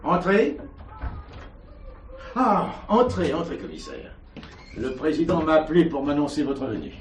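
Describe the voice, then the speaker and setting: An actor playing a courteous, middle-aged prison chaplain in a radio drama, speaking formally and earnestly. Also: male voice, mid-range voice, noisy background, close to an everyday voice. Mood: flustered, welcoming. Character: earnest prison chaplain, a devout pleading man. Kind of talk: 1970s French radio drama acting.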